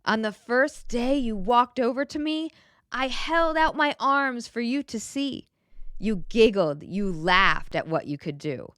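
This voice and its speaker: A woman reading a children's picture book aloud in a gentle, expressive way, pausing to put questions to the young listener. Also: a little high, no room sound, clean studio sound. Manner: gentle, expressive, clearly enunciated storybook delivery.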